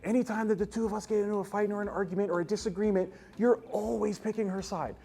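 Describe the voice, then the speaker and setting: A man's voice: sits high, fairly even intonation, fast talker, creaky, mellow, voice pushed up. A man voicing a young waitress ex-girlfriend who is overly familiar, gushing and dramatic, in a comic story. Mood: indignant, whiny. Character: chirpy, fake-friendly, then indignant ex-girlfriend, a young waitress.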